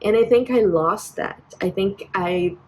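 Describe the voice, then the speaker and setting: A woman's voice: medium pitch, unhurried, darkish tone. A Brazilian woman in her twenties with a Brazilian Portuguese accent, speaking English as a second language. She vents reflectively into her phone, hesitant, with many fillers and restarts. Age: 20s. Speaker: vlogger. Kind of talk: personal venting vlog.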